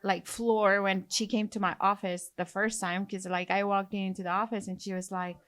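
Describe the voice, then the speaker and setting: A woman's voice: medium pitch, little pitch movement, normal speed, fairly bright tone. A woman from Mexico with a Mexican Spanish accent, hosting a podcast and telling stories in casual chat, full of fillers. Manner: chatty, storytelling with many fillers. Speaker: podcast host.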